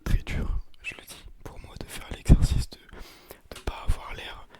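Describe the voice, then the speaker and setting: A young man speaks in a breathy whisper, very near the microphone. He chats casually in ASMR style, rambling and hesitating in a self-deprecating way. Breath sometimes puffs on the mic. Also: unhurried. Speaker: ASMR video creator. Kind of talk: ASMR, casual chat to viewers. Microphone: close, sensitive microphone with occasional breath puffs and bumps.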